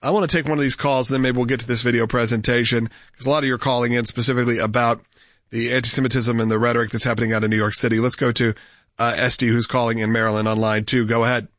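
A man's voice: mid-range voice, level tone, rapid-fire, fairly bright tone, no room sound, clean studio sound. An American man hosting a live political talk show, addressing listeners and callers.

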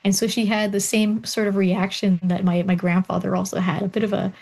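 A woman's voice: medium pitch, little pitch movement, a little fast, gravelly, darkish tone. A young woman guest on an interview show, a doctoral researcher, telling personal stories animatedly with frequent laughs and restarted sentences.

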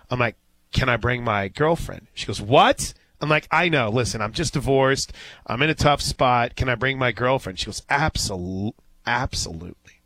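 A man's voice: medium pitch, a bit bright, no room sound, low-quality recording. Casual male sports radio host. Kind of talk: casual live radio talk and storytelling.

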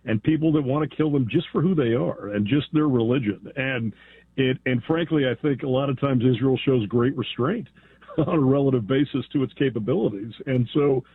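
A man from the United States calling into a radio show, earnest and halting, repeating words as he speaks. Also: medium pitch, husky, no room sound. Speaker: caller. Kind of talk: phone-in call. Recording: phone line.

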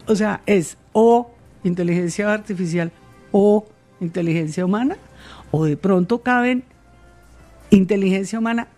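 Woman, interview guest, warm dark tone, faint music behind. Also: medium pitch. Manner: measured, hesitant with fillers and repeated words. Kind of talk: thoughtful interview answers.

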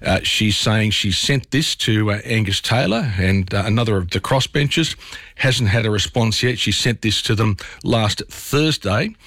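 A man's voice: fairly low pitch, normal speed, a bit bright, no echo, studio quality. A man with an Australian accent hosting an evening talk radio show, his voice slightly scratchy from a chest cold, talking listeners through the news in a conversational way. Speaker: radio host. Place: radio studio.

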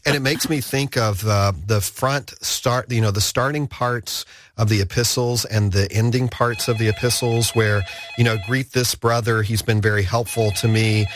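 A man's voice: fairly low pitch, fairly even intonation, moderate pace, a little hiss or hum. A man who works at a radio station, chatting casually and enthusiastically on air. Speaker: radio station staff co-presenter.

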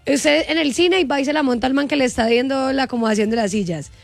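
A woman's voice: high voice, fast, vocal fry, dry recording, faint music behind. A woman with a Cali accent hosting a radio show, chatting casually and ranting with strong opinions. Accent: Colombian, from Cali. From Cali, Colombia. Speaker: radio host.

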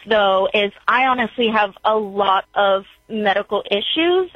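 A woman's voice: medium pitch, no room sound. A woman in her twenties calling in to ask for advice, talking in a hesitant, rambling way with many fillers. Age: 20s. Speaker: caller. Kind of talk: call-in advice question. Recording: dull phone line.

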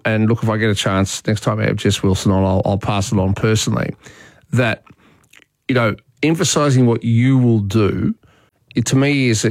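A middle-aged man with a lowish voice hosts a morning radio show. He chats loosely, jokes and stumbles over his own restarts, close to a dry studio mic. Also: no room sound. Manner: chatty, joking, lots of restarts. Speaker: radio talk show host. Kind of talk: casual talkback radio chat. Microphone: studio microphone.

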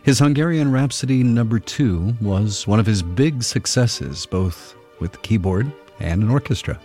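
A man's voice: lowish voice, animated, unhurried, warm tone, soft background music. A man hosting classical radio, giving a wry, conversational scripted introduction to a piece.

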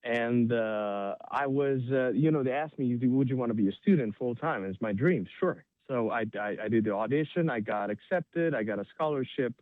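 A man's voice: medium pitch, normal speed, hardly any pauses, dry recording. A man from Romania, a native Romanian speaker, tells his story informally and enthusiastically as an interview guest. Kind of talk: animated personal storytelling in an interview. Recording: thin phone-like remote call sound.

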